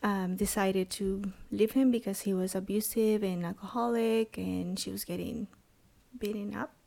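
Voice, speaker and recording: A woman in her thirties from El Salvador with a Salvadoran Spanish accent, sharing her personal testimony as a podcast guest in a halting way, with many pauses and restarts. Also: mid-range voice, steady tone, gravelly, warm tone. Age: 30s.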